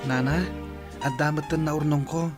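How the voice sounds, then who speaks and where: A man's voice: a little high, steady tone, moderate pace, over music, close to an everyday voice. An actor playing a dutiful young man in a radio drama, earnest and respectful. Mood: hopeful.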